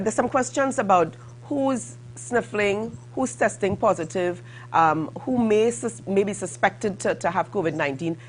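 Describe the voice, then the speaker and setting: A woman hosting a morning show, putting questions in a halting, rephrasing way. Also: lowish voice, a little hiss or hum. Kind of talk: broadcast interview questioning.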